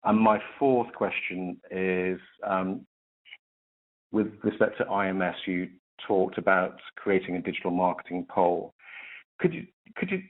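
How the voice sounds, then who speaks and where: A man asking an executive detailed questions on an earnings call, polite and measured with some restarts. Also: sits low. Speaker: analyst caller. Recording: tinny phone line.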